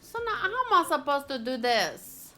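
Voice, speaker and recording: A woman streaming a video game, thinking aloud in a casual, chatty and expressive way. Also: high voice, normal speed, soft background music. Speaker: gaming streamer.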